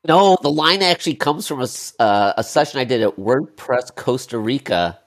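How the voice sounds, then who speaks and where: A man's voice: mid-range voice, animated, normal speed. A man co-hosting a casual podcast, jokey and stumbling over restarts. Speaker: podcast co-host.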